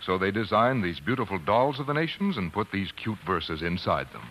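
A man's voice: sits low, big pitch swings, a little fast, fairly bright tone, slight background noise, rough recording. A man announcing on 1940s radio, hosting a scripted sponsor chat. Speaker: announcer and commercial host. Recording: 1940s radio broadcast recording.

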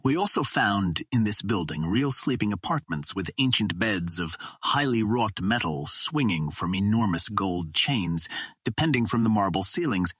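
A man reading an adventure novel aloud as scripted narration, in a measured storytelling manner. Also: fairly low pitch, expressive, a bit bright, breathy edge, dry recording, studio quality. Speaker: audiobook narrator.

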